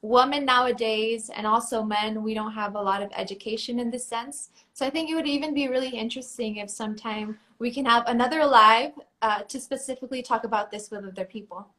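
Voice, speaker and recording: A woman's voice: fairly high pitch, fairly quick, hardly any pauses, sharp tone. A young woman from Mexico, a Spanish speaker, hosting a live video chat in English, friendly and enthusiastic. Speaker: pageant titleholder, live stream host.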